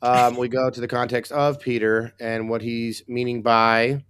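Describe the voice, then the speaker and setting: A man with a hoarse, raspy voice, co-hosting a casual Bible discussion with wry, sarcastic asides. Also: medium pitch, little pitch movement, a little slow, a bit bright, no echo. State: hoarse, recovering lost voice. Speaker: co-host.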